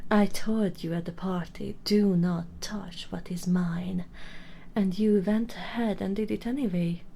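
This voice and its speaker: A woman playing a proud, possessive vampire baroness in an intimate ASMR audio roleplay, imperious and breathy. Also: medium pitch, steady tone, unhurried, dark tone, slight background noise. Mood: menacing. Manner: breathy half-whispers, imperious and teasing.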